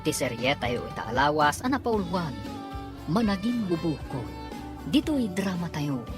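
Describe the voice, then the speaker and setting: A woman's voice: on the low side, big pitch swings, a little slow, over music. A woman announcing the closing credits of a radio drama in a formal style.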